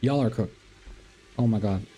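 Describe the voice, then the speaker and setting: A man's voice: fairly low pitch, moderate pace, dark tone, poor audio. A man giving casual game playthrough commentary as a streamer, sometimes reading the story text aloud. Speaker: gaming streamer. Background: faint game music.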